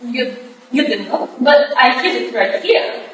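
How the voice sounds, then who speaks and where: In a very echoey hall, far from the microphone, an actress plays an anxious young foreign woman. Her English is halting and stilted, and her voice sits high, as in a Victorian stage drama. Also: lively intonation, a little hiss or hum. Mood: confused, pleading.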